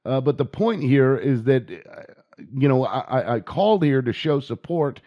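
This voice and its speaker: An American man talking informally and giving his opinions in a halting way, repeating words as he goes. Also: mid-range voice, animated, no room sound, muffled recording. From United States. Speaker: video blogger and activist. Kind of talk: informal opinion monologue and phone inquiry.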